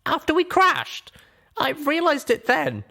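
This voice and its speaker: A man's voice: very high-pitched, wildly swooping pitch, measured pace, creaky, sharp tone, voice pushed up, heavily acted. A man voicing a stubborn, angry young female pony in a dramatized audiobook, with a harsh, emphatic delivery. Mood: angry, bitter.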